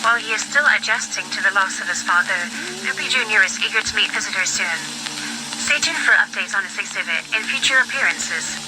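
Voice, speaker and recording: A woman reading a scripted news bulletin in a formal, measured way. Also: medium pitch. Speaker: news announcer in an analog horror video. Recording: noisy broadcast-style audio. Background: clear background noise.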